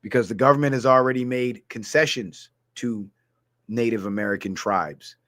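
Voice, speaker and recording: A man's voice: mid-range voice, fairly bright tone, no room sound, clean studio sound. A man from Guyana ranting through a political commentary livestream, heated and blunt, reading viewer comments aloud. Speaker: streamer.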